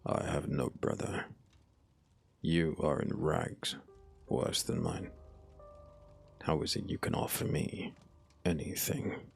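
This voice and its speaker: A man playing a guarded, scornful surgeon in a dark fantasy audio drama, measured and skeptical. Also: rumbling low, sing-song, vocal fry, slightly rough, soft background music, exaggerated voice. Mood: wary, scornful. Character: guarded, scornful male surgeon obsessed with forbidden science.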